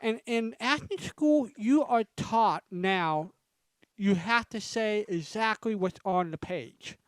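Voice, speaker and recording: A woman's voice: medium pitch, expressive, slow pace, dry recording. A woman explaining things casually as a host on a group video call. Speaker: panelist and event host.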